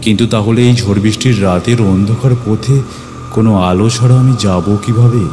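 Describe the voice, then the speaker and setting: Male actor as caring village doctor, first-person horror narrator: lowish voice, expressive, earnest, music loud behind. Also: fairly quick, lightly acted. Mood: suspenseful narration. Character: young village doctor Aniket, earnest, caring, telling his ghost tale.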